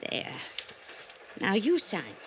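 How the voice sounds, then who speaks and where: An actress playing an ailing, aging society woman in an old-time radio drama, gravelly and stubbornly dismissive. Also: medium pitch, wildly swooping pitch, slow pace. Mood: confiding. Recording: noisy old radio recording.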